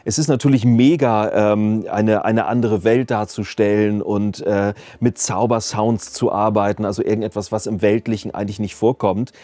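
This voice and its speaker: A man's voice: on the low side, steady tone, moderate pace, hardly any pauses, dark tone, no echo. A man talking casually and reflectively in an interview as a sound designer, sometimes searching for words. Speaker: audio drama sound designer and mixer. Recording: music playing behind the voice. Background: background music.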